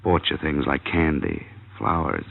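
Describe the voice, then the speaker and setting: An American actor playing a tough, cynical insurance investigator in a radio crime drama, with a clipped, blunt delivery. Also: male voice, extremely low pitch, big pitch swings, unhurried, vocal fry, full character voice. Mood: hard, accusing. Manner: clipped, hard-boiled, blunt. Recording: dull vintage radio recording.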